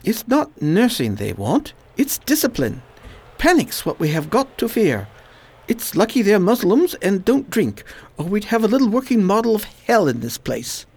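A woman voicing a weary, Oxford-educated man fighting a plague, speaking low and wry in a radio drama. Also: huge pitch swings, normal speed, a little mellow, slightly rough, heavily acted. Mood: dry. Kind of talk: amateur radio drama acting.

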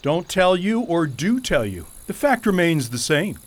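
A man's voice: a little high, very animated, a little slow. A man playing a pompous, foppish goat king in a radio play, with florid, theatrical, wordy speech. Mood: pompous, dismissive.

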